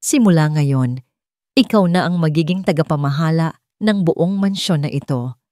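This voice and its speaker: A woman with a Filipino accent voicing a remorseful wealthy father, gentle and earnest, in an audio drama. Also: sits low, expressive, normal speed, dry recording, studio quality.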